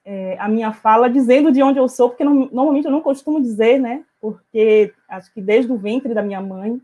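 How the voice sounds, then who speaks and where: Woman, mellow measured speech. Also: fairly high pitch. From Bahia, Brazil. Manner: measured, many hesitation fillers. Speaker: invited speaker, author. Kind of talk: academic talk on a video call. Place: small room at home, indoors. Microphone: earphone headset on video call.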